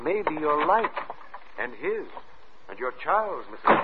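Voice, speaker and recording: An actor playing a grave, concerned doctor, speaking with measured insistence in an old radio drama. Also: male voice, a little high, big pitch swings, heavy background noise. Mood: urgent, concerned. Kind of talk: 1940s-50s radio drama acting. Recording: thin, narrow vintage radio recording.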